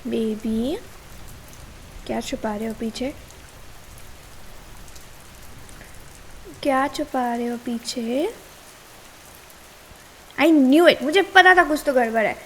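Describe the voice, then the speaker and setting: High-voiced young Indian girlfriend, acted by a woman. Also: takes it slowly, pauses often. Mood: suspicious. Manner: casual, nagging, switches between two languages. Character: caring, suspicious, easily exasperated young girlfriend. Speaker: ASMR roleplay voice actress. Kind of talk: ASMR girlfriend roleplay, Hindi mixed with English. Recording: hiss and rain sound behind.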